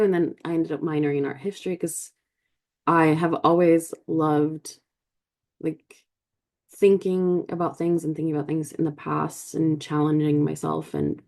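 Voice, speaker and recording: A woman's voice: low-pitched, vocal fry, dark tone. A woman in her twenties from Colombia hosting a podcast, telling personal stories in a casual, reflective way and peppering her talk with "like". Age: 20s. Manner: informal, peppered with "like" as a verbal habit. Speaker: podcast host.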